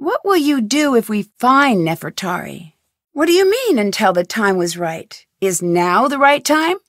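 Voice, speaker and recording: A curious, blunt young female detective from a video game, asking sharp, probing questions. Her voice sits fairly high, and the recording is dry and close. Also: sing-song, a little slow, no echo. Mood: inquisitive.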